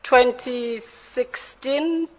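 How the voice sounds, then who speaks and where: A Zimbabwean woman in her forties answering formal interview questions in a measured, careful and hesitant way, with a Zimbabwean accent. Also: high voice. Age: 40s. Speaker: candidate for judge being interviewed. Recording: reverberant hall sound.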